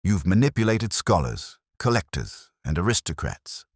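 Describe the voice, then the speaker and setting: Male narrator as theatrical Victorian detective for audiobook: very low, lively pitch, incisive clipped declamation. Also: normal speed, slightly rough, no room sound, clean studio sound, full character voice. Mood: accusing. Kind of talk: audiobook character dialogue.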